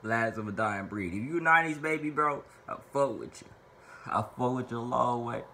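A man's voice: mid-range voice, fairly quick, pushed and bright, a little hiss or hum. A young man in his twenties from Little Rock, Arkansas, rambling profanely and playfully while answering fan questions to camera in a small bedroom. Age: 20s. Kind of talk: casual Q&A monologue to camera.

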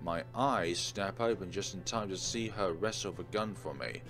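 Male let's play host, lowish voice, narrating a visual novel with casual asides over game music. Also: moderate pace. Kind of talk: dramatic read-aloud of a visual novel with casual commentary.

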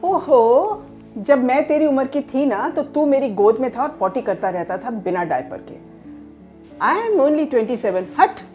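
A woman's voice: sits high, big pitch swings, slow, music in the background, full character voice. An actress playing a teasing, motherly middle-aged mother who mixes in English phrases, in a home living room. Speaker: groom's mother. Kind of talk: scripted short-film acting.

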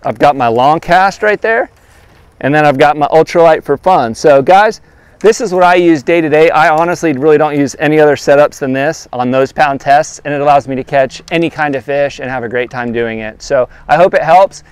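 A man in his thirties, a fishing guide, showing his gear outdoors by a lake and talking straight to the camera in a relaxed, practical way. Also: fairly high pitch, fairly quick, clean tone, dry recording. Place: outdoors by a lakeshore. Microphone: lapel mic.

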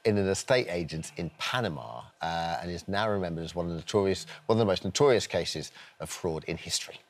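A man with a deep voice introduces an item as a television presenter. He reads it out in a conversational daytime studio style. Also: animated, fast talker.

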